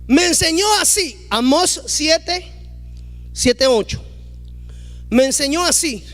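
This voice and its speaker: Pastor guy preaching hard, really high voice, builds up loud. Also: animated, slow pace, soft background music. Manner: emphatic, rising to loud exclamations, acting out quoted dialogue. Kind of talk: preaching a sermon.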